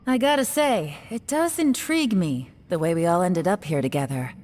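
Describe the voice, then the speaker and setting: An actress playing a cool, composed young woman investigator in a video game, speaking in a measured, deliberate way. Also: on the low side, animated, a little mellow, slight background noise, natural voice. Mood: resolute.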